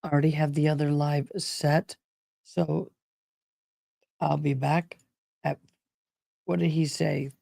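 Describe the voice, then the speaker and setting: A worn-out woman hosts a livestream, her voice gravelly and low, slow and mellow. She chats casually and affectionately, calling viewers babe and love. The sound is dry and close. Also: flat delivery, dry recording. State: worn out, voice struggling. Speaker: livestream host covering a trial.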